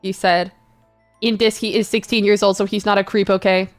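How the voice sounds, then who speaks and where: A woman's voice: medium pitch, little pitch movement, brisk, soft background music. A woman hosting a mock-dramatic reading of fanfiction, playful and theatrical, with casual asides. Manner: playful, theatrical, spells out misspellings. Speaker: host reading fanfiction aloud.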